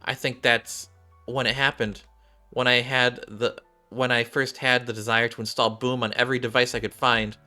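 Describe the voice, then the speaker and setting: A man voicing a shy, earnest young android gamer girl from a game story, halting and heartfelt. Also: mid-range voice, level tone, sharp tone, soft background music, pitched above the performer's own voice. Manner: halting, heartfelt, repeats and restarts.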